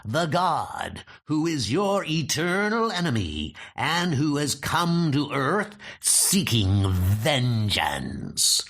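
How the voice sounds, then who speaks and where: A man voicing a cunning, vengeful Norse god of mischief with theatrical, taunting, drawn-out delivery in a comic book dub. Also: a little high, big pitch swings, fairly bright tone, full character voice. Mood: sly, menacing.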